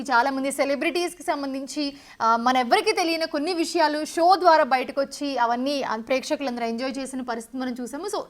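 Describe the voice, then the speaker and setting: A woman news anchor, presenting live and putting questions to a reporter. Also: high voice.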